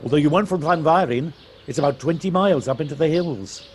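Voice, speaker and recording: An actor playing a friendly, gently teasing countryman who drives and chats in an unhurried way, in an audio play. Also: a little high, close to an everyday voice. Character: friendly Welsh countryman, chatty driver fond of hills. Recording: home device recording, light background noise. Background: birdsong.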